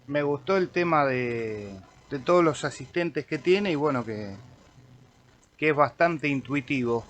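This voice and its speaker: An Argentine man, a radio amateur slowly talking through an audio editor tutorial while clicking through menus. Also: fairly high pitch, expressive, fairly bright tone. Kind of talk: screen-recorded software tutorial. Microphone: computer microphone.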